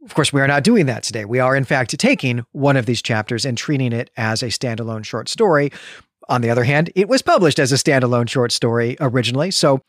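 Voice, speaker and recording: An American man with a mid-pitched voice hosts a book podcast. He speaks conversationally but explains carefully, circling back to clarify his points. The sound is close and dry. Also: expressive, fast talker, no echo. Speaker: podcast host. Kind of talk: conversational literary podcast discussion.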